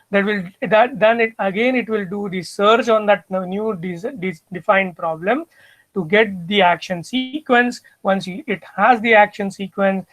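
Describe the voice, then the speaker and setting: A man's voice: high voice, fairly bright tone, no room sound, poor audio. A middle-aged man with an Indian English accent giving an online lecture, explaining step by step and often restarting his phrases. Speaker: university lecturer. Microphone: computer mic on video call.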